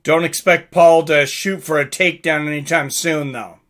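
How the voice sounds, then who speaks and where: Bright-voiced man calling a fight casually. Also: fairly high pitch, fairly even intonation, moderate pace. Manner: informal, conversational. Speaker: livestream fight commentator. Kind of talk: casual live fight commentary with chat asides.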